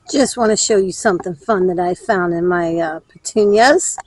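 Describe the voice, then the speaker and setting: A woman's voice: fairly low pitch, expressive, normal speed, breathy edge, dry recording. A woman chatting casually and thinking aloud as she demonstrates for a garden vlog. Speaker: garden vlogger.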